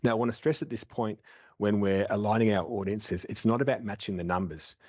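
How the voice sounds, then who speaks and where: A man's voice: lowish voice, fairly even intonation, fairly quick, a little mellow, no echo. A man in his thirties giving a webinar talk over a headset from a home office, explaining steadily with frequent filler words. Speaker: webinar presenter. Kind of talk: presenting slides. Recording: dull dry video call audio.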